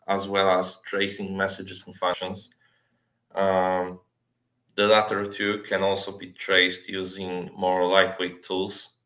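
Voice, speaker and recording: A man in his thirties giving a technical presentation over a video call, speaking haltingly with frequent filled pauses. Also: low voice, sharp tone. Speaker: software developer giving a talk. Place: small plain indoor room, on a video call. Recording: roomy, echoey webcam sound.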